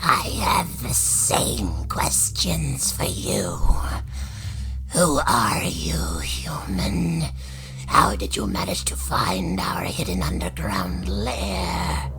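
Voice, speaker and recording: A woman playing a menacing, pompous reptilian emperor in an audio drama, with a gravelly, hissing half-whisper. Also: extremely low pitch, a bit bright, full character voice. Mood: imperious, suspicious. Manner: pompous, slow and threatening.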